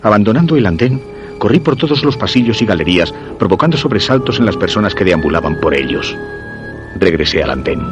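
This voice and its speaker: An actor from radio theatre playing an obsessed, lovelorn commuter who narrates his search in brooding, deliberate Castilian Spanish. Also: fairly low pitch, sing-song, music in the background. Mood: anxious, obsessed. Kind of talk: dramatic first-person radio theatre narration.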